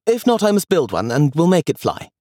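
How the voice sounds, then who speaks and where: A man voicing a high, eager little boy. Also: very animated, fairly quick, mellow, dry recording, clean studio sound. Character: excitable little boy grandson, imaginative and eager.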